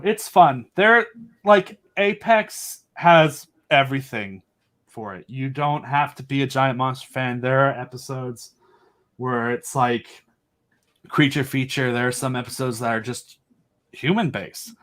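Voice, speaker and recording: A man talking casually and enthusiastically as a podcast guest, animated and joking, with wry asides. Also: medium pitch, slow. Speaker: podcast guest, audio drama creator.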